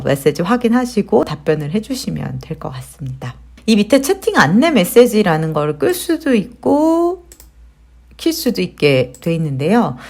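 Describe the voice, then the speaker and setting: Woman w/ a lowish voice doing a step by step how-to, chatty explaining, asks the viewer stuff as she goes. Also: darkish tone. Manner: explanatory, conversational, poses questions to viewers. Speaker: tutorial host, digital marketer. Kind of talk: casual step-by-step software tutorial narration.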